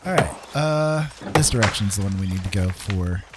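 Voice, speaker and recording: A man with a fairly low voice gives casual commentary as he plays a game. He thinks out loud in a conversational way, often ending on a questioning tag. There is clear noise behind him. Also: animated, normal speed. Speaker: game streamer.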